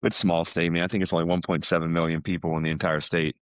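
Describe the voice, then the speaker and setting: A man's voice: low voice, level tone, very fast, smooth. A middle-aged American man chatting casually, telling stories in a rambling way. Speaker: podcast guest, salesman. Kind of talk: casual chat.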